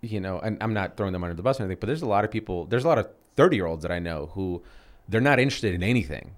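A man's voice: sits low, animated, very fast. A man in his thirties co-hosting a casual chat, rambling with many restarts and fillers. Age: 30s. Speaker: podcast co-host.

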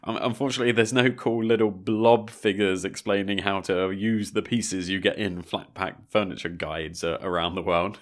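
A man's voice: low-pitched, fairly even intonation, normal speed, hardly any pauses. A man from England, co-hosting a history podcast and telling chatty stories. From southern England. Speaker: podcast co-host. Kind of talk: casual chat.

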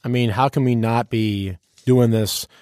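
A man's voice: medium pitch, steady tone, measured pace, darkish tone, clean tone, no room sound, clean studio sound. A man who works as a radio personality, chatting casually as an interview guest in a slangy, playful, showman-like and rhetorical way.

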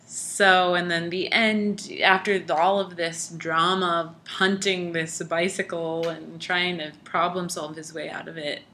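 Young woman, low bright voice, loose rambling conversational delivery. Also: little pitch movement, measured pace, hardly any pauses, a little hiss or hum. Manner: rambling, heavy use of "like" and "you know". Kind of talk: casual conversational chat.

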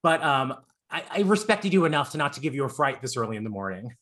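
A man's voice: medium pitch, big pitch swings, quick pace, a bit bright. Gushy campy guy around 40 doing a celeb interview, lots of jokes. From born in Long Island, raised in Florida. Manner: effusive, campy and joking. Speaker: interviewer host.

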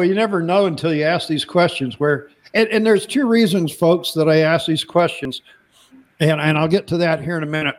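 A man hosting a podcast interview, talking in a loose, rambling way and often repeating words as he starts a sentence. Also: on the high side. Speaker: podcast host. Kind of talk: casual interview chat.

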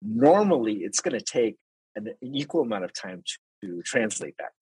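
Deep husky man explaining his trade. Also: very animated, moderate pace, darkish tone, no room sound, rough recording. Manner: explains step by step with examples, many restarts. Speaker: guest, translator and interpreter. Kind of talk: casual interview talk.

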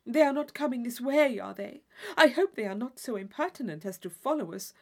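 A woman's voice: a little high, animated, brisk, a little mellow. A woman voicing an affected, gushing young society lady in an audiobook of a classic novel, with theatrical emphasis. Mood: mock indignant.

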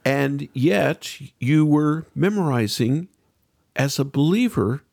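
A man's voice: a little high, lively intonation, slow, creaky, darkish tone, dry recording, studio quality. A man hosting a faith podcast, delivering introductions and interview questions in a radio style.

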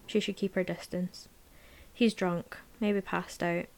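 A woman narrating a novel aloud in a measured, sometimes hushed voice. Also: on the low side, fairly even intonation. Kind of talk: audiobook narration, present tense.

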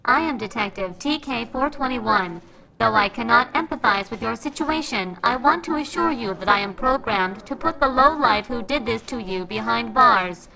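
A woman's voice: on the high side, lively intonation, normal speed, rough recording. A woman playing a literal-minded, boastful robot with stilted, programmed phrasing in a comedic audio drama. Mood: formal. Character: literal-minded, boastful female robot, later police detective. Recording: music behind the voice.

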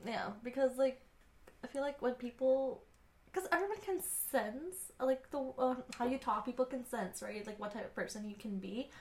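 A young woman co-hosting a podcast, telling personal stories casually in short, halting bursts. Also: high-pitched, darkish tone, echoey room. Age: young adult. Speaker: podcast co-host. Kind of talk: casual chat, personal anecdotes.

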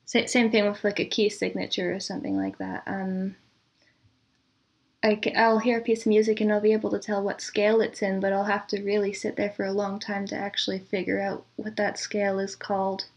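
A woman answering as a composer guest in a casual, chatty interview, quick to laugh. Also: medium pitch, little pitch movement.

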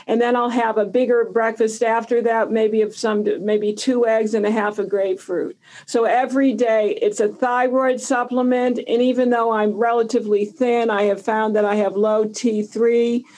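Higher-pitched woman in her seventies, instructive. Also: flat delivery, normal speed, hardly any pauses, a little mellow, low-quality recording. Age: 70s. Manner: emphatic, instructive. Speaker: guest health expert and author. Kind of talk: explanatory interview answers.

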